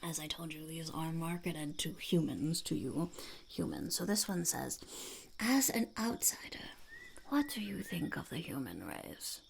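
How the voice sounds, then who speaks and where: A woman voicing a blunt, mischievous female goblin in a whispered ASMR roleplay, deadpan and cynical, with a creaky, breathy voice close to the mic. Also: sits low, a little slow, slight background noise, exaggerated voice. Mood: matter-of-fact, sly. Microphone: close ASMR microphone.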